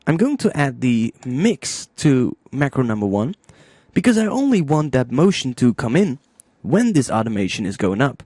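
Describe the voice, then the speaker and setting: A man narrating a music software tutorial step by step, informally thinking aloud into a close microphone. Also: mid-range voice, sing-song, normal speed, no room sound.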